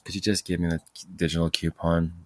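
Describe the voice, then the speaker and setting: A man's voice: low voice, little pitch movement, fairly quick. Rambling self-mocking man in his forties. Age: 40s. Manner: chatty, profane, self-mocking, drifts off topic. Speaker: podcast host. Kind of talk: rambling casual storytelling.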